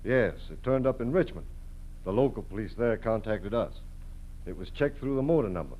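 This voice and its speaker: An actor playing a polite, brisk, official local policeman in 1940s radio acting style. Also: on the low side, lively intonation. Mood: businesslike. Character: polite, businesslike local policeman bearing news. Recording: dull vintage 1940s radio.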